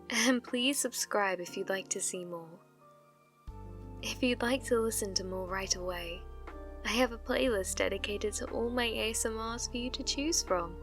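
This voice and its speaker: A woman who makes ASMR roleplays, giving a scripted outro to her listeners. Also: fairly high pitch.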